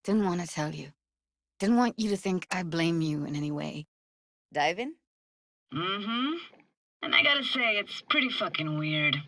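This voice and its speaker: Tough young female techie, forceful bright voice, very dry close sound, blunt slangy delivery, video game. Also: fairly low pitch, expressive, unhurried, pauses often, no echo, heavily acted. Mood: apologetic, then wry, teasing. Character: tough, streetwise, candid young woman braindance technician. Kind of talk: naturalistic game voice acting.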